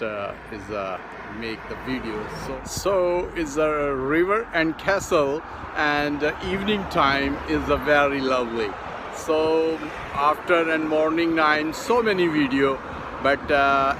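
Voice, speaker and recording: A middle-aged man whose first language is Urdu, narrating a casual outdoor vlog from a city bridge in halting, broken English with many fillers. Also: a little high. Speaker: vlogger. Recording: outdoor phone recording with street noise. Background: traffic and city street noise.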